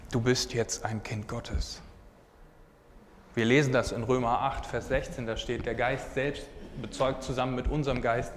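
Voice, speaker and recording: A man in his twenties preaching a sermon and sharing his own testimony in an earnest, casual way. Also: medium pitch. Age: 20s. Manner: earnest, casual, often says "hey". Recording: hall reverb, faint background noise.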